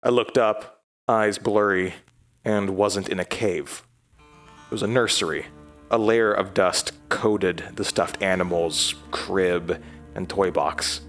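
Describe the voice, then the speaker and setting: An actor playing a haunted man who recounts his journey in first person for a horror audio drama, in halting, measured phrases. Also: deep voice, fairly bright tone. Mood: uneasy, grave. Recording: music bed behind the voice.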